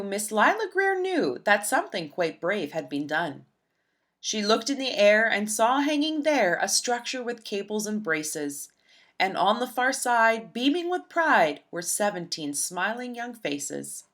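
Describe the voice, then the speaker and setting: A woman narrating a rhyming children's storybook aloud in an expressive, rhythmic, dramatic way. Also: medium pitch, unhurried. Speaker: storybook reader.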